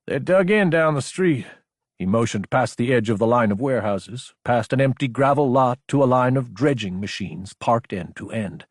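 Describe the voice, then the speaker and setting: Male narrator for action fiction audiobook, husky texture, very dry close-mic sound. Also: medium pitch, moderate pace, few pauses, no echo.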